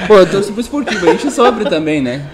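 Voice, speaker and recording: Jokey high-voiced man, casual podcast. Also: lively intonation, fast, over a noisy crowd. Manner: jokey, laughs often. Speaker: podcast co-host reading comments. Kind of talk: casual chat. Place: small room with round table. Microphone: table mic.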